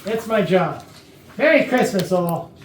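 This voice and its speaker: A woman playing a confident, deliberate police detective in a staged murder mystery comedy. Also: mid-range voice, expressive, natural voice. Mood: businesslike. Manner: deliberate, authoritative. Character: brisk, confident police detective laying out the case. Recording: echoey room, distant mic, faint noise.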